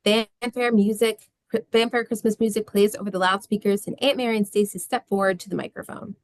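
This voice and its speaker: A woman's voice: mid-range voice, fairly even intonation, brisk. A woman reading scripted stage directions as narrator of a screenplay table read.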